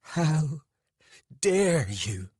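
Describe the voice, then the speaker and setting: A man's voice: fairly high pitch, lively intonation, a little slow, husky, no echo, clean studio sound, voice pushed up. A man voicing an angry, wounded woman in an audiobook, indignant, seething and emphatic. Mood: trembling rage. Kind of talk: dramatised audiobook dialogue.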